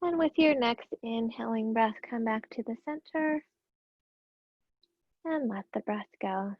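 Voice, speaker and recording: Raspy-voiced woman calmly guiding yoga. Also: on the high side, measured pace, no echo, lo-fi sound. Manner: calm, gentle cueing with breath. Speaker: yoga teacher. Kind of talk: guided yoga class instruction.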